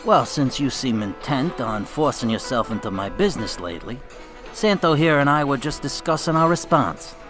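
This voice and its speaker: Male actor as mob boss, mid-pitched, sardonic, commanding, quietly menacing, music underneath, radio drama. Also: big pitch swings, moderate pace. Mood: commanding, irritated. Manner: dry, understated threats.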